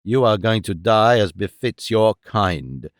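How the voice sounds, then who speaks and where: A man voicing a grim, vengeful jungle-raised hero who speaks low, slow and menacing. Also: lively intonation, no echo, studio quality.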